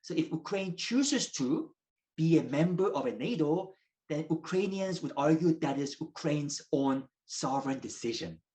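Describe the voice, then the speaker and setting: Lively-toned man explaining politics. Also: a little high. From South Korea, raised in Russia. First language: Korean. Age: 30s. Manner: measured, lecture-like explaining. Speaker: guest professor. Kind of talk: explanatory interview answers.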